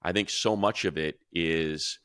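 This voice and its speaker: A man talking football in a casual sports podcast chat from a room at home, rambling with restarts and growing animated when he compares players. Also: low-pitched, a little slow, clean tone, no echo, clean studio sound. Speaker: radio play-by-play announcer.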